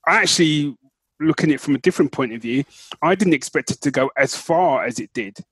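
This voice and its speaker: A man's voice: a little high, brisk, a bit bright, husky, no echo, low-quality recording. A man co-hosting a podcast, chatting casually as he reviews shows, choosing his words carefully and repeating phrases for emphasis. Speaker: podcast co-host.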